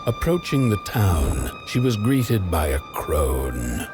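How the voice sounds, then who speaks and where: A man narrating a dark fairy tale in a video game as a scripted storyteller. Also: low-pitched, very animated, takes it slowly, music in the background, exaggerated voice. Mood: measured, ominous.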